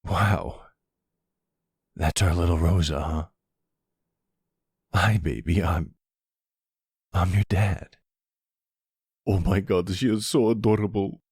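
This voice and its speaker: A man acts out a devoted husband and new father in a mafia audio drama. The voice is low and husky, recorded dry. He speaks haltingly, full of feeling. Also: a little mellow, dry recording, full character voice. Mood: awed, tender. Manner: halting, emotional.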